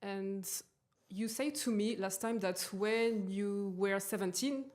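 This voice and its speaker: Podcast host, woman, mid-pitched, friendly and encouraging with her questions. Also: takes it slowly, a little mellow. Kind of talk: interview hosting.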